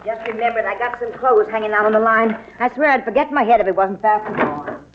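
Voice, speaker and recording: Folksy grumbling housekeeper played by a woman, fairly high voice, dry delivery, vintage radio comedy-drama with hissy sound. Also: fairly quick, lightly acted. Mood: folksy, flustered. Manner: dry, folksy, homespun phrasing. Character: folksy, grumbling housekeeper, Miss Bixby, quick to complain. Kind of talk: old-time radio comedy-drama acting. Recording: dull, noisy old radio recording.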